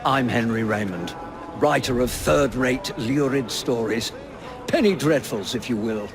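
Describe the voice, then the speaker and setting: An actor playing a charming, self-deprecating Victorian writer of lurid stories, wordy and theatrical, in a video game. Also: male voice, medium pitch, lively intonation, unhurried, music in the background. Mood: wry, florid. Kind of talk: video game cutscene acting. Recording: noisy outdoor city ambience.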